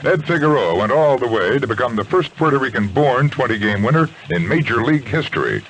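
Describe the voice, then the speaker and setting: A man's voice: medium pitch, lively intonation, fairly quick, a bit bright. An American man anchoring a scripted radio newscast on a 1970s AM station. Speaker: news anchor. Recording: noisy 1970s AM radio aircheck.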